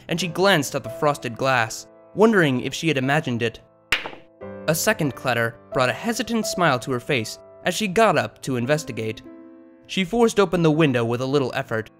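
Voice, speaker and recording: A man's voice: medium pitch, a bit bright. A man reading scripted fiction as an audiobook narrator.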